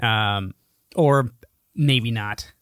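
A man's voice: medium pitch, very slow, fairly bright tone, smooth, no room sound, clean studio sound. A man co-hosting a podcast in casual comedic chat, with an irreverent, crude way of joking. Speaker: podcast co-host.

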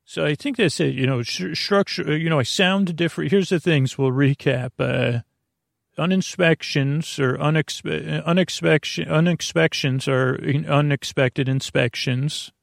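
A man delivers a loose, wandering bedtime podcast monologue in a noticeably creaky, fry-laden voice. He stops, restarts and drifts into gentle absurdity with mild humor. The sound is close and very dry, with hardly any room around it. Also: on the high side, no room sound. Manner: meandering, halting, self-interrupting. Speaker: podcast host.

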